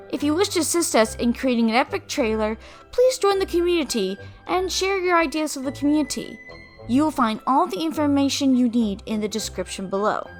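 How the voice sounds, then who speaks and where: Casting: young female channel host, high voice, scripted update narration over faint music. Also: fairly bright tone. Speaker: channel host and community leader.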